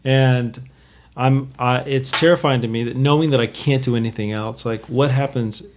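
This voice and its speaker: A man's voice: mid-range voice, fairly even intonation, moderate pace. A man in his thirties, a guest drummer, talking through his thoughts in casual podcast conversation, rambling and correcting himself as he goes. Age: 30s.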